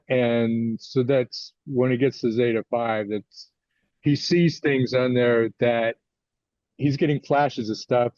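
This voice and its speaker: An older American man answering interview questions as a guest author, conversational and a little halting as he thinks his answers through. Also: mid-range voice, darkish tone, no echo. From United States, California. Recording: dull lo-fi audio.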